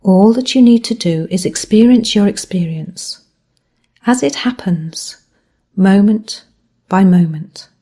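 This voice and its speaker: A woman teaches mindfulness through a guided body scan. Her voice is soft-edged and mellow, with a husky, breathy grain. She delivers each phrase gently and with measured care, letting the endings fall away softly. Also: medium pitch.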